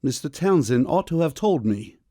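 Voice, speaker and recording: A man playing a stern, middle-aged doctor and father in a period audiobook drama, with a measured, formal, coolly ironic manner. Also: fairly high pitch, lively intonation, mellow, no echo, studio quality. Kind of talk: full-cast audiobook period drama acting.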